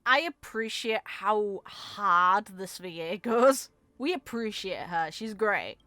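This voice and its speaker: Woman, bright forward voice, mid pitch, dry recording, informal reflective game commentary. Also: lively intonation, takes it slowly, dry recording. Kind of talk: casual commentary and reading of game story.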